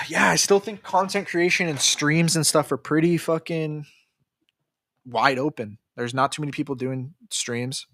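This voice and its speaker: A man's voice: on the high side, steady tone, a little slow, sharp tone, dry recording, studio quality. A young man livestreaming about crypto, reading out chat comments and answering in a loose, profane way, with lots of fillers and restarts, tired from staying up late.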